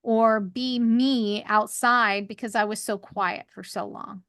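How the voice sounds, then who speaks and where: A woman with a higher voice, co-hosting a podcast and talking openly in a rambling, candid way. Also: fairly even intonation, slow, fairly bright tone, clear, resonant tone, dry recording, studio quality. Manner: rambling, restarts, laughs often. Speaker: podcast co-host. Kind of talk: candid conversational chat.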